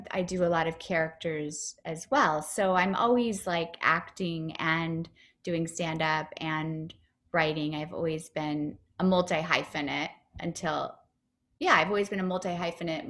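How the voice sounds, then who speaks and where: Bright-voiced woman chatting on a video call. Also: fairly low pitch. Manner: chatty, upbeat, with many fillers and restarts. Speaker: comedian and actor, interview guest. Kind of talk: casual interview answers over video call.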